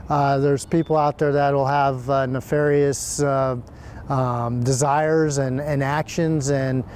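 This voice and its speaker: Halting man with medium-pitched voice. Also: flat delivery, a little mellow, a little hiss or hum. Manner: halting, searching for words. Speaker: fire chief. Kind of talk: unscripted interview answer.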